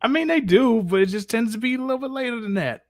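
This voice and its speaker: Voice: medium pitch, animated, quick pace, no room sound. A casual host fielding questions in a live chat. The voice sits in a middle register and is recorded very dry and close. The talk is slangy and informal, with phrases often repeated. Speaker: host taking audience questions.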